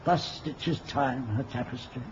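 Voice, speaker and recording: An actor playing an ancient wizard and mentor in a radio play, speaking in a poetic, archaic and wistful manner. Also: male voice, mid-range voice, a little slow, lightly acted. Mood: wistful, grand. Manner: poetic, grandly archaic, chuckling speech. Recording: dull, noisy old radio recording.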